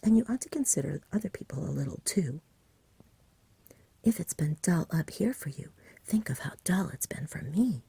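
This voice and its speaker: A woman's voice: sits low, animated, moderate pace, gravelly, warm tone, breathy edge, dry recording, exaggerated voice. Woman whispering as an eager storybook boy. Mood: imploring. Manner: urgent, cheeky, imploring. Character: eager, pleading young village boy. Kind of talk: whispered ASMR storybook reading.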